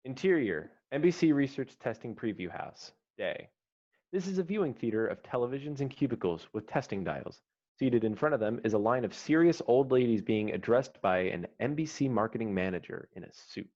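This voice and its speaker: A man's voice: medium pitch, expressive, brisk, a little mellow. A man reading screenplay stage directions as narrator at an online table read. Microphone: video call. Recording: dull lo-fi online call audio.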